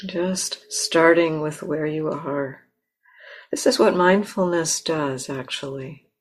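Woman, meditation guide, deep-pitched, unhurried, gentle, frequent pauses. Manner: gentle, unhurried, pausing mid-phrase. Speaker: meditation teacher. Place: meditation center.

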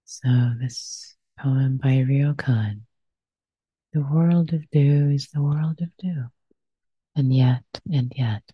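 A woman with a Persian accent, a meditation teacher speaking softly and slowly with many pauses and fillers, guiding a reflection. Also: a bass voice, husky, no echo. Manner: soft-spoken, pausing, with frequent fillers. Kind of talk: guided reflection after meditation. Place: meditation center hall. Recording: muffled lo-fi recording.

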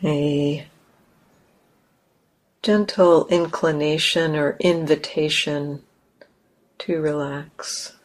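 A woman teacher leading a guided meditation in a gentle, unhurried manner, leaving long pauses between phrases. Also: sits low, steady tone, rough recording.

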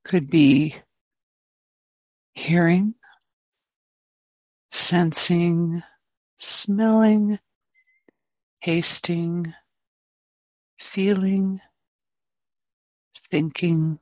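A woman meditation teacher giving guided meditation in gentle, spacious phrases with long pauses between them. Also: fairly low pitch, no echo, low-quality recording.

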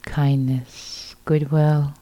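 A woman meditation teacher leading a guided meditation in a soft, breathy, gentle voice, with short phrases set between long silences. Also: low voice, little pitch movement, drawn-out words, muffled recording.

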